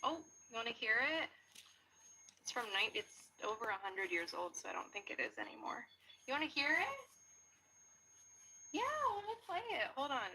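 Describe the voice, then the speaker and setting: A woman chatting casually and excitedly, exclaiming in an emotive way. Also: fairly high pitch, moderate pace, long pauses, a little hiss or hum, low-quality recording.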